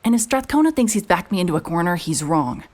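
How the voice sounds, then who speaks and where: A woman playing a hard-hearted, stubborn private investigator in an audio drama, narrating in a wry, terse, deadpan way. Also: on the low side, fast. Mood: wry, determined. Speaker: narrator and lead actor.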